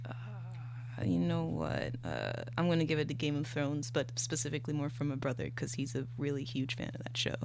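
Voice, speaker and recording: A young woman with a slightly low voice answers as a guest in a casual interview. She feels her way through what she says in an informal, hesitant manner. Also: sing-song, normal speed, gravelly, background noise. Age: young adult. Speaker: guest, animator and illustrator.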